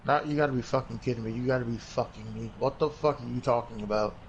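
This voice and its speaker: A man's voice: mid-range voice, fairly even intonation, fast, lo-fi sound. A man bantering casually, reacting bluntly and with plenty of swearing. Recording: vehicle noise behind.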